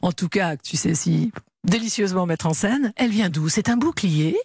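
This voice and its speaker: A woman hosting a radio interview, playful and quick to laugh with her guest. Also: on the low side, a little mellow, breathy edge, no room sound, clean studio sound. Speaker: radio host.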